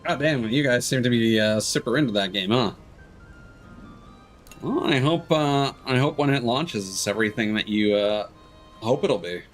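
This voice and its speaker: A man's voice: mid-range voice, animated, normal speed, soft background music. A man livestreaming a video game and chatting casually with viewers, hesitant and rambling, often trailing off. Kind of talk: casual chat with stream viewers.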